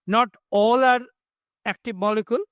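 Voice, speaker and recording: Male expert panelist, high-pitched, halting emphatic explanations, dry acoustic. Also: fairly even intonation, no echo. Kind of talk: explanatory webinar answers.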